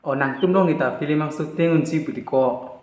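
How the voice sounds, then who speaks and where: A man's voice: a little high, normal speed, darkish tone. Man on a casual prank call, echoey. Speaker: prank caller and vlogger. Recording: roomy echo.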